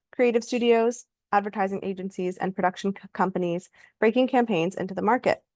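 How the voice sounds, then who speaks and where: A woman's voice: fairly low pitch, darkish tone, dry recording, studio quality. A woman hosting a talk, reading a scripted, formal introduction of a guest with the occasional stumble. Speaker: host introducing a guest speaker. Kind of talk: scripted introduction read aloud.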